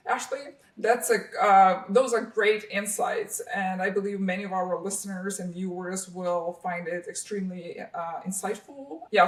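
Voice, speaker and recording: A woman from Ukraine hosting an interview, affirming often and pausing on fillers. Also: mid-range voice, roomy sound, recorded from a distance, poor audio. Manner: warm affirmations, frequent hesitations and fillers. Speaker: podcast host.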